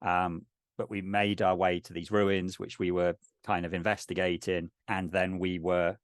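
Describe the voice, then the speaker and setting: A man's voice: sits low, level tone, moderate pace, no echo, studio quality, close to an everyday voice. A man voicing a frightened, exhausted human explorer in a tabletop game, pleading and stumbling over his words. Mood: shaken.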